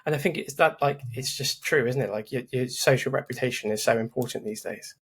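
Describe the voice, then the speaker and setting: A man's voice: mid-range voice, a little fast, slightly rough. A man hosting a podcast, talking casually and restarting his sentences often. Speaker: podcast host. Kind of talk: casual interview chat.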